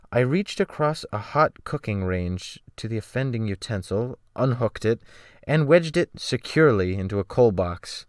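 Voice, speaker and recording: Male storyteller, lowish pitch, crisp and smooth, dry close-mic sound, literary dramatic reading. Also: a bit bright, dry recording. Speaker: audiobook narrator. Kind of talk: scripted dramatic first-person narration. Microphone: close USB desktop microphone.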